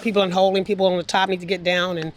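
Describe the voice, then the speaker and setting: An older woman telling personal stories casually as an interview guest, chatty and animated as she acts out what people said. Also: on the low side, racing, slight background noise. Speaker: interview guest, former air traffic controller. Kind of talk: casual anecdotal storytelling.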